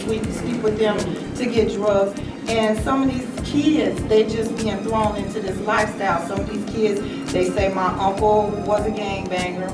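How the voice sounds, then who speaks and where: An American woman talks earnestly and informally, as if cautioning a group. She is some way from the mic in an echoing room, and loud music plays behind her. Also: medium pitch, recorded from a distance. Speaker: speaker at a stage play discussion.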